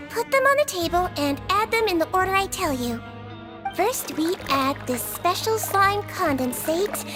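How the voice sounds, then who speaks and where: A woman voicing a small, sweet girl nurse in a video game, speaking in a childlike, polite and earnest way. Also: extremely high pitch. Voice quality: girlish, childlike. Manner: polite, earnest, gently instructive.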